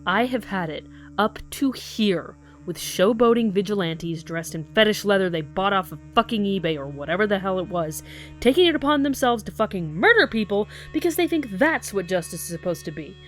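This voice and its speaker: An actress playing a blunt, sarcastic, foul-mouthed police detective in an audio drama. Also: female voice, medium pitch, very animated, normal speed, lightly acted. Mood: furious, fed up. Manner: sardonic, blunt, confrontational. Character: hard-bitten, sarcastic, profane woman police detective. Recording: faint music behind the voice.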